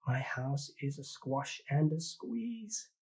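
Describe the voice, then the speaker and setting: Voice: medium pitch, expressive, slow, a little mellow, breathy edge. A reader voicing a fretful little old woman who keeps begging for help with her crowded house, in a pleading, sing-song storybook manner.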